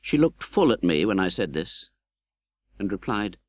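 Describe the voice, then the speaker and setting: A British man playing an earnest, tender-hearted gentleman who recounts his own life in a dramatic, measured audiobook narration. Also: on the low side, very animated, no room sound. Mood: reflective, sombre. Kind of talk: dramatic literary audiobook narration in first person.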